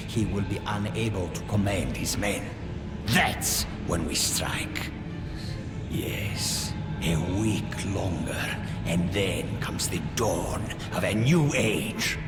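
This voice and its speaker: An actor playing a scheming military commander in a video game, measured and authoritative. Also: male voice, lowish voice. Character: cold scheming military commander plotting conquest. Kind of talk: video game cutscene acting. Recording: echoey hall, loud music behind.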